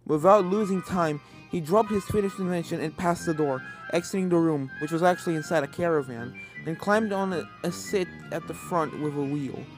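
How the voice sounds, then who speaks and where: Story reader, medium pitch, scripted fantasy narration with music playing underneath. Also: moderate pace, few pauses. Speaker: fan fiction reader.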